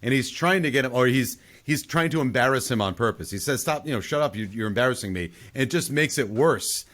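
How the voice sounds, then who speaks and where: Mid-pitched man puzzling aloud on a podcast. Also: lively intonation, fast, a bit bright. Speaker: podcast panelist. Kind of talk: casual film discussion.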